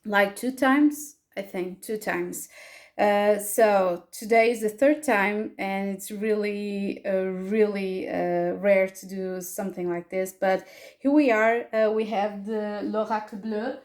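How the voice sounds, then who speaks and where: A Portuguese-accented woman, a native Portuguese speaker, leads a tarot reading in English. She talks slowly and on a fairly flat pitch, pausing often on fillers and restarting her sentences. Her voice sits at a middle pitch for a woman. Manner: hesitant, many fillers and restarts. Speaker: tarot reader and astrologer. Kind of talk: casual pick-a-card tarot reading.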